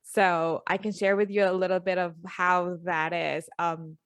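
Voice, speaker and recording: A middle-aged woman from the Philippines, a guest coach, telling her story openly in a relaxed interview, with many fillers and restarts. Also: lowish voice, measured pace, smooth, dry recording. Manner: earnest, open, with frequent fillers and restarts. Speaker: guest, life and business coach.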